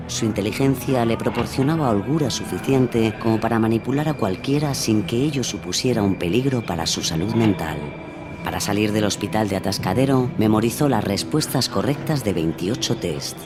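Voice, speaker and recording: A man's voice: on the low side, animated, moderate pace, over music. A man reading scripted true-crime narration as a narrator.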